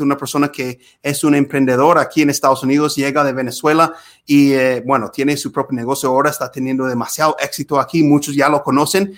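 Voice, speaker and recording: A man's voice: on the high side, fairly bright tone. A young man who grew up in the United States, hosting a podcast in a casual, friendly way, with many fillers. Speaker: podcast host. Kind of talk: casual interview.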